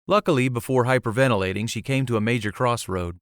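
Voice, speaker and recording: A man's voice: medium pitch, fast talker, no room sound, clean studio sound. A man narrating a scripted fantasy story as an audiobook narrator. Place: close, dry recording space.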